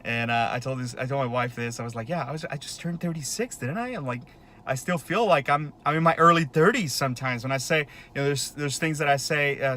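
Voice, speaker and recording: A man's voice: a little high, bright, forceful tone. Rambling man in his thirties. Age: 30s. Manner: rambling, full of fillers and restarts. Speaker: vlogger. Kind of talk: casual rambling monologue.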